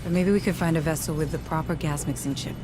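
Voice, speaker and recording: A woman playing a composed, firm military officer in a video game, measured and commanding, with music behind her. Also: sits low, steady tone, background noise, lightly acted. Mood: focused. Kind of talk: cinematic video game acting.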